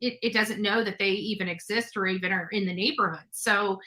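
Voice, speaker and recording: A woman's voice: medium pitch, fairly even intonation, fairly quick, bright, forceful tone. A woman hosting a talk show, speaking conversationally in an emphatic, advising way to a guest and audience.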